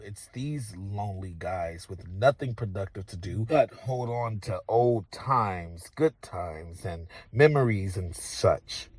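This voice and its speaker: A man's voice: on the low side, no room sound, low-quality recording. A man narrating a first-person audiobook in a conversational, profane storytelling style.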